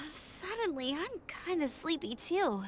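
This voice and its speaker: A woman voicing a friendly, energetic teenage girl scout in an anime game, bubbly and casual. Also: very high-pitched, noisy background, exaggerated voice.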